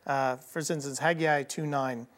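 Animated man teaching Bible prophecy. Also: mid-range voice, measured pace. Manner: animated, acts out quoted people in casual voices. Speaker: co-host of a Bible prophecy TV program.

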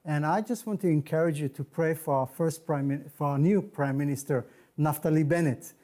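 A middle-aged man whose first language is Hebrew, speaking in a mellow, warm tone at a fairly high pitch. He talks like a preacher, earnest and emphatic, as a guest in an interview. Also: fairly quick. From Israel. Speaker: guest minister. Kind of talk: interview, testimony and blessing.

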